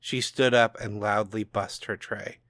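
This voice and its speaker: A man's voice: fairly low pitch, fairly even intonation, measured pace, fairly bright tone, no room sound, clean studio sound. Man playing a bitter, brooding old father. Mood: subdued self-loathing. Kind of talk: dramatic audiobook narration.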